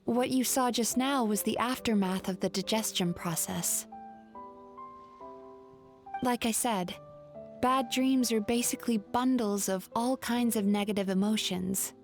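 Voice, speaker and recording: A soft-hearted young woman from an animated game. Her voice is fairly high and unhurried, gentle and polite, with faint music underneath. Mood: calm, explaining. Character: gentle young female dream-eater therapist, composed, soft-hearted. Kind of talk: animated video game voice acting.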